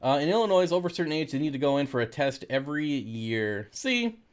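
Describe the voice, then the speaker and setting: A man's voice: fairly high pitch, lively intonation, a little fast, clear, resonant tone. An American man hosting a casual livestream, talking in a laid-back, jokey way as he reads and answers comments. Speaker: livestream host reading and answering viewer comments.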